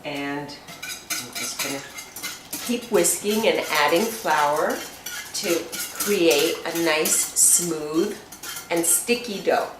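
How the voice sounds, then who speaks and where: A woman's voice: low voice, drawn-out words, far from the mic, background noise. A woman walking through a recipe step by step in her home kitchen, talking in a homely, chatty way as she works. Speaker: home cook presenting a recipe. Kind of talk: step-by-step cooking demonstration. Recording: echoey kitchen, voice from off-mic. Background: clinking pots and cutlery.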